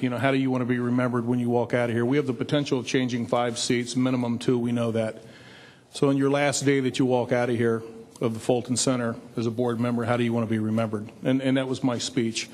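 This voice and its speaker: A man's voice: mid-range voice, a little mellow. A middle-aged man a little under the weather gives a blunt public comment at a school board meeting, restarting phrases as he presses his point, speaking into a stand mic in a large meeting chamber. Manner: direct, admonishing, with frequent restarts. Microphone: desk stand mic in front of seated speaker.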